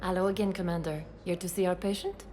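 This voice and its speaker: A woman's voice: fairly low pitch, fairly even intonation, fast, natural voice. A woman playing a composed, courteous, professional doctor in a video game.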